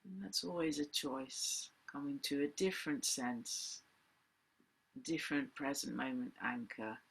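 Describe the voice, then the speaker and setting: A woman leading a guided meditation as a teacher, speaking in gentle, deliberate phrases with long pauses. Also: extremely low pitch, creaky, husky, rough recording. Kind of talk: guided meditation, unscripted spoken instruction.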